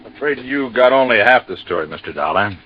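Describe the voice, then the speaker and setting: An American actor playing a matter-of-fact, folksy Coast Guard captain explaining things in a 1950s radio drama. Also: male voice, fairly low pitch, expressive, a little fast. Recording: dull, dry vintage radio recording.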